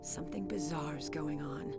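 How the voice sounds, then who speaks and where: A woman playing a guarded, no-nonsense young police officer in a 1990s video game, speaking firmly and deliberately. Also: deep voice. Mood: guarded, matter-of-fact. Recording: game cutscene with loud music behind. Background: loud game music.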